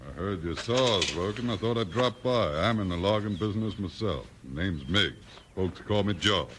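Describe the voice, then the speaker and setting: An actor playing a cocky, folksy frontier logging stranger in a 1950s radio western. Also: male voice, deep voice, lively intonation, moderate pace, a bit bright. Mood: folksy, breezy. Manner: folksy, self-assured. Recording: faintly noisy old radio recording.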